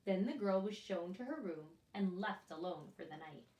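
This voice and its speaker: A woman storyteller reads a picture book to small children with a very lively, swooping delivery. She breaks off to put questions straight to her young listeners. The sound is roomy and echoing, a little away from the microphone. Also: fairly low pitch, normal speed, lo-fi sound. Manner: expressive, addresses children directly with questions. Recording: echoey room, distant microphone.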